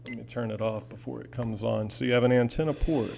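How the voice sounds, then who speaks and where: A man's voice: medium pitch, fairly even intonation, quick pace, gravelly, a little mellow. Rambling man over noisy hum. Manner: rambling, folksy, enthusiastic. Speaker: hobbyist video host. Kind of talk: casual product demo and chat. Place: indoors in an apartment. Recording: noisy home recording with audible hum.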